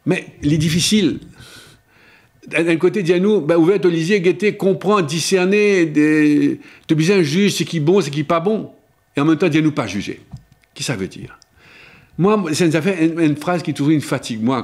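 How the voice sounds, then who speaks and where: Older preacher. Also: male voice, darkish tone. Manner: shifts between spoken pitches, slowing for emphasis. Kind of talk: Lenten sermon to camera. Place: small studio before a blue backdrop, seated at a table. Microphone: table microphone on a stand.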